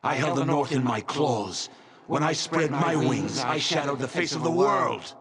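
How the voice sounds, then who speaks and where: An actor playing a proud, domineering barbarian chieftain with a dragon's spirit in a video game, speaking with gravelly, imperious contempt. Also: male voice, a little high, measured pace, over a noisy crowd, lightly acted. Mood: anguished, furious. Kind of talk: dramatic video game voice acting.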